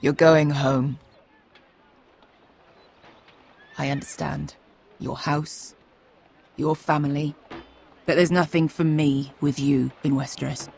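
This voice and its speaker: An actress playing a tough, blunt, wounded mercenary woman in a video game, gruff and bitter in manner. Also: low-pitched, steady tone, a little slow, a little mellow, full character voice. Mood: resigned. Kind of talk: dramatic video game voice acting. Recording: faint background ambience noise.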